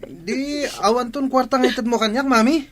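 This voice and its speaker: An actress playing a spoiled, money-minded student in an Ilocano radio drama, with a whiny, questioning delivery. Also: female voice, high voice, moderate pace. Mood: whiny worry.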